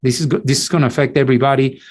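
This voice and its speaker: Middle-aged man, mid-pitched, halting academic lecturer. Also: steady tone, a little mellow. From Cuba. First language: Spanish. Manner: halting, many restarts and repeated words. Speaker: infectious disease physician, lecturer. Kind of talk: online lecture.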